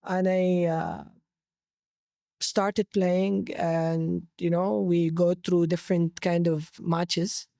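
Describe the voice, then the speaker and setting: A man with a Saudi Arabic accent, telling his personal story conversationally as an interview guest, pausing to search for words. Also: sits high, little pitch movement, creaky, warm tone, dry recording, clean studio sound. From Jeddah, Saudi Arabia.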